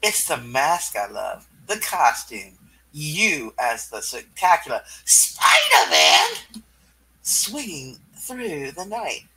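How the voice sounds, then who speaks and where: A man's voice: a little high, huge pitch swings, takes it slowly, sharp tone, breathy edge, heavily acted, low-quality recording. A man voicing a seductive, thrill-seeking female cat burglar in a comic book reading, with a purring, teasing, flirty delivery. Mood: adoring, excited.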